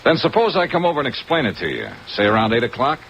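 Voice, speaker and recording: An actor playing a sardonic, hard-boiled American investigator in an old-time radio detective drama, clipped and flippant. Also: male voice, medium pitch, very animated, quick pace, fairly bright tone. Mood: flirtatious wry. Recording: muffled hissy old radio, rain over it.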